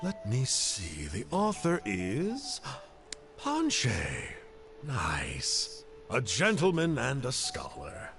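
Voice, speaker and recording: A man voicing a calm, bookish young hunter in a video game, reading old records aloud in a measured way. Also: mid-range voice, exaggerated voice. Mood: dry. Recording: game audio with clear music behind.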